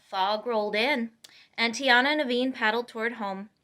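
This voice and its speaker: A woman reading a children's storybook aloud in an expressive, emphatic way. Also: on the high side, normal speed, background noise. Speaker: storytime reader.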